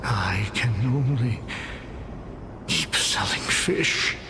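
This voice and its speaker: Very slow, forlorn ghostly fishmonger. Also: male voice, medium pitch, noisy background. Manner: halting, repetitive, plaintive. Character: forlorn, stubborn male ghost of a fish seller. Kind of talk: video game voice acting. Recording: background music under the voice.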